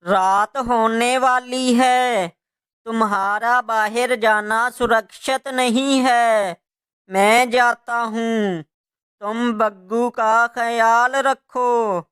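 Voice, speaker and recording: Man voicing a weary father crow, very unhurried. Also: extremely high pitch, steady tone, clear, resonant tone, full character voice. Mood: worried. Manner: weary, worried, gentle with family. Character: tired, ailing adult male crow, caring father. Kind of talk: Hindi cartoon moral story dubbing.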